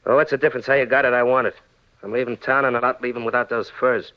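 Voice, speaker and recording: A man's voice: mid-range voice, fairly even intonation, quick pace, lightly acted. An American actor playing a menacing, sneering gunman in a 1940s radio drama, with slangy tough-guy talk. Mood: menacing, demanding. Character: menacing, sneering male gunman and fur thief. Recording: dull, lo-fi 1940s radio recording.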